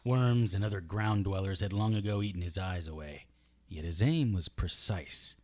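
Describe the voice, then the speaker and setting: A man's voice: sits low, moderate pace, a little mellow, close to an everyday voice. A man playing a sardonic, defiant occult detective in an audio drama, acting and narrating in the first person. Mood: tense, grim. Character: defiant, wisecracking male occult detective hero.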